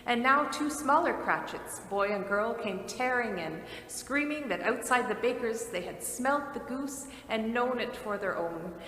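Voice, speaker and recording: Bright-voiced woman reading a story. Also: fairly high pitch, animated, unhurried, hardly any pauses. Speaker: narrator. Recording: echoey hall, faint noise.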